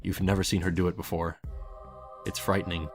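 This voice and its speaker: Low-voiced man narrating a story over music. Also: level tone, brisk. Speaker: fan fiction narrator. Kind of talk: scripted second-person story narration.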